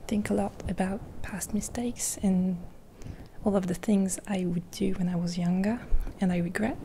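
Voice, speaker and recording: A woman speaking in a gentle, half-whispered ASMR roleplay, rambling softly and reassuringly with pauses, close to the mic. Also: mid-range voice, fairly even intonation, slight background noise, low-quality recording. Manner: caring, reassuring. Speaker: ASMR creator. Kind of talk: soft-spoken ASMR roleplay.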